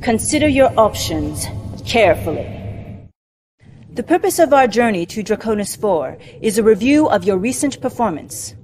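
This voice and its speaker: A woman's voice: mid-range voice, very animated, moderate pace, a little mellow, natural voice. An actress playing a dutiful, controlled female military mentor in a science fiction game, giving clipped, formal briefings. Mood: cool, stern. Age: young adult. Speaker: actor in game cutscenes. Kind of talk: formal scripted mission briefing. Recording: long hall reverb, music underneath. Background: background music.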